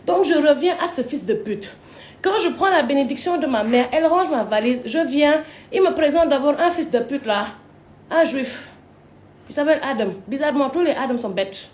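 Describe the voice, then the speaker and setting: A woman's voice: high voice, expressive, moderate pace, darkish tone, slight background noise. A woman from Côte d'Ivoire ranting in a rambling, crude way, swearing as she tells a long personal story. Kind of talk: rambling live rant. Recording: roomy echo.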